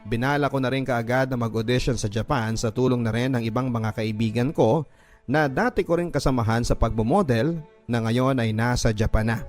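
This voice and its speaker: A man's voice: mid-range voice, brisk, a little mellow. A man with a Filipino accent reads a listener's story as an emotive, scripted first-person radio drama narration. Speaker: radio drama host reading a listener's letter. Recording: music bed behind the voice. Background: music.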